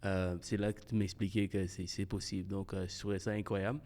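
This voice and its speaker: A man hosting a podcast. He talks casually and haltingly, restarting his sentences and slipping in English words. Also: sits low, level tone, a little mellow. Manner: halting and hesitant, many restarts, laughs often, mixes in English. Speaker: podcast host.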